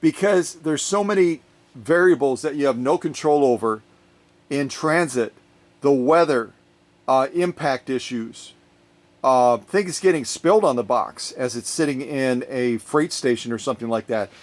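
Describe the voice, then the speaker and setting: A man chatting casually in a vlog, telling a rambling story in an animated way as he gets ready to unbox a sewing machine in his workshop. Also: mid-range voice, measured pace. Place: workshop, recording his own video.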